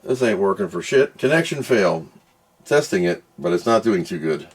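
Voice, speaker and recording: A man's voice: low voice, sing-song, moderate pace, noisy background. A man doing casual hands-on product commentary, muttering to himself as he works and reading out screens. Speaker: product reviewer doing an unboxing and test.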